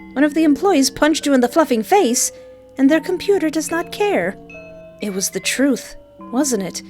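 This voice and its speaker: A woman reading a visual novel aloud, with playful, animated joking asides between the narration. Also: fairly high pitch. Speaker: let's play streamer.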